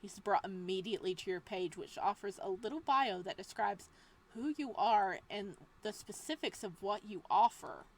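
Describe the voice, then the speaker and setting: A woman reading a visual novel aloud as a let's play host, moving between dramatic narration and casual, expressive reactions. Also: medium pitch. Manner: expressive, exclamatory asides with laughter between measured reading.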